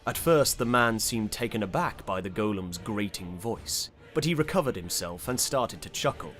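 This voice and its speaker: A man narrating a fantasy audiobook in a measured, deliberate and dramatic style. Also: on the low side, lively intonation, fairly bright tone, faint music behind.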